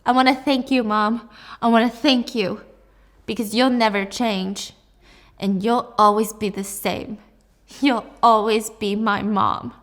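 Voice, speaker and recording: A woman's voice: fairly high pitch, steady tone, a little slow, lightly acted. A woman voicing a hurt, defiant grown daughter in a dramatic story, speaking with bitter, confrontational intensity. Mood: bitterly resolved. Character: wounded, defiant adult daughter out for revenge.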